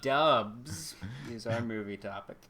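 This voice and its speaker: A man's voice: mid-range voice, takes it slowly, bright, forceful tone. A young man co-hosting a casual podcast, rambling, profane and easily exasperated. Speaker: podcast co-host. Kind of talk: casual chat.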